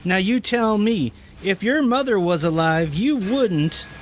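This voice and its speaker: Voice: mid-range voice, expressive, measured pace, a little hiss or hum, close to an everyday voice. A performer playing a sassy, precocious young woman, flippant and smart-mouthed, in an amateur radio play. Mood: defiant.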